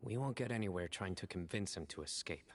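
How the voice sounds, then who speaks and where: An actor playing a stern, composed young desert guardian in a video game, speaking in a measured, deliberate, solemn way with reflective resolve. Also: male voice, sits low, expressive, a little hiss or hum. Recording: background music under dialogue.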